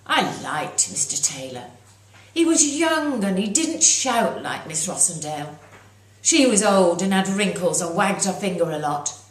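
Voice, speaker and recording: A woman reading her own children's story aloud as its author, in an expressive narrating style. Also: medium pitch, a little slow. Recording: roomy echo.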